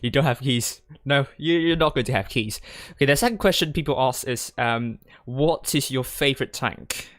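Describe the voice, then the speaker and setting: A man's voice: medium pitch, moderate pace, fairly bright tone, no room sound, studio quality. A young man from Hong Kong chatting casually as a gaming streamer, rambling hesitantly and often repeating words as he answers viewers. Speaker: gaming streamer and tournament commentator. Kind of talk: casual livestream Q&A chat. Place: indoors at a desk in a gaming chair.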